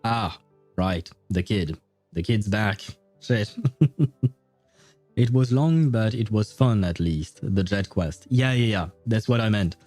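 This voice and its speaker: A male streamer with a soft, dark timbre chats to viewers in non-native English. He rambles politely and hesitantly, in a self-deprecating way. The sound is close and dry, with faint music underneath. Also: fairly low pitch, expressive, takes it slowly, no echo. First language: not English. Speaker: livestreamer. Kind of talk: casual livestream chat with viewers over gameplay. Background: faint game music.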